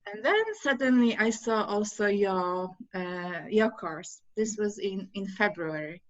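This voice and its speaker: A middle-aged woman with a Polish accent, speaking English as a second language, answering interview questions on a video call with halting speech and many fillers. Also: medium pitch. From Poland. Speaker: course graduate, interview guest. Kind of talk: casual interview chat. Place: home room, video call. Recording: dull lo-fi video call audio.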